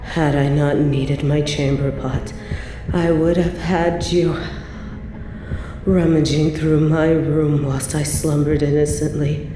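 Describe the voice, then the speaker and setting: A woman playing an evil, possessive medieval queen in a roleplay audio drama, haughty and theatrical with drawn-out, breathy asides. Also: low-pitched, fairly even intonation, echoey room, music in the background, poor audio. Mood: smitten, teasing. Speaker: voice actor in a scripted roleplay audio.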